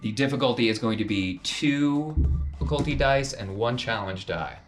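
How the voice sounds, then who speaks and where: A man's voice: medium pitch, lively intonation, moderate pace, faint music behind. A man working as game master, narrating casually and repeating phrases for emphasis.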